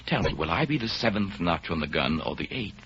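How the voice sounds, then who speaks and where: An actor playing a suave, fatalistic spy in a 1930s radio drama, with mocking, romantic, measured theatrical delivery. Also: male voice, deep voice, sing-song, a bit bright. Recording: noisy, muffled vintage radio recording.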